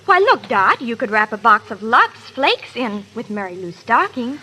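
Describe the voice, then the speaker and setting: An eager teenage girl in a vintage radio ad skit, gushes in period slang. Her high, bright voice bounces with animation over a faintly noisy old recording. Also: very animated, moderate pace. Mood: excited. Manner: gushing slangy exclamations. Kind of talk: 1930s radio advertising skit.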